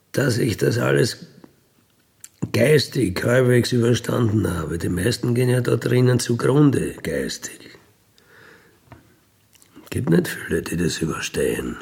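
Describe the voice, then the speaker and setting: A middle-aged or older man with an Austrian accent talks candidly about his own life. His voice sits at an ordinary male pitch with heavy fry in it. He takes his time, speaking colloquially and matter-of-factly, with no apology in his manner. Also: a little mellow. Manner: matter-of-fact, colloquial, unapologetic. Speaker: ex-convict interviewee telling his life story. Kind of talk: candid conversational recollection.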